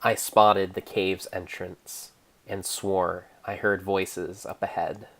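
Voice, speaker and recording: A man narrating an improvised story in a theatrical, measured way, pausing between phrases. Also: low-pitched, little pitch movement, a bit bright. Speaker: streamer, narrator.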